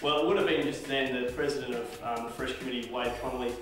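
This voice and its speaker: A man's voice: mid-range voice, steady tone, fast. A man talking casually in an interview as a radio station member. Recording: very echoey, distant mic, music behind.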